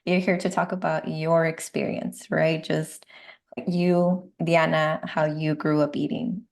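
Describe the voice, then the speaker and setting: A woman's voice: sits low, flat delivery, unhurried, creaky, a little mellow. A woman podcast host, herself a dietitian, asking questions and affirming her guest in a casual interview style.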